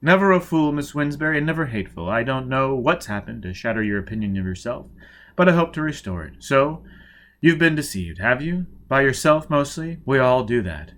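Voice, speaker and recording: A smooth young male interrogator in an audiobook, played by a man. He is courteous and soothing, with a lively, varied pitch that coaxes and reassures. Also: fairly quick. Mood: soothing sympathy. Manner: gentle, adroit, courteous.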